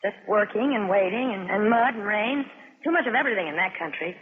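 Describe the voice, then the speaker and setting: An actress playing a modest, wry young American flight nurse in a 1950s radio drama, speaking in a hesitant, understated way. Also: female voice, mid-range voice, expressive, fast talker, lightly acted. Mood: wry, weary. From Alabama, United States. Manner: hesitant, understated, dryly joking. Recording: noisy, tinny 1950s radio recording.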